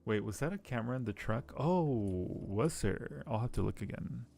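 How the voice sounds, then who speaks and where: A man's voice: medium pitch, animated, normal speed, creaky, mellow, slight background noise. A man streaming a game casually, thinking aloud as he plays and reading on-screen text to his viewers. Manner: thinks aloud while playing. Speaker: streamer playing a point-and-click game.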